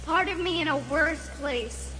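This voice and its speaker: Frightened ghost boy: high, slow, plaintive, audio drama with long reverb. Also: sharp tone, slight background noise. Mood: scared, pleading. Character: frightened, pleading young boy, ghostly and lost. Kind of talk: dramatized audiobook radio theatre acting. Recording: long cavernous reverb, faint music.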